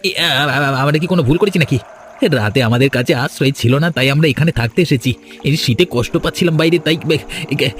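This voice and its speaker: A man playing a humble, protective young carpenter on the run with his sweetheart, earnest and excitable, in a cartoon. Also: fairly high pitch, a little fast, soft background music, natural voice. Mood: nervous pleading. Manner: earnest, excitable, stammers when alarmed. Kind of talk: Bengali cartoon melodrama acting.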